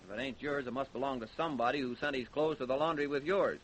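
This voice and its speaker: Actor as a rapid-fire American homicide detective. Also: male voice, mid-range voice, a little hiss or hum, close to an everyday voice, poor audio. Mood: businesslike, probing. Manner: blunt, interrogating. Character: brisk, pushy homicide detective. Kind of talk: old-time radio crime drama acting.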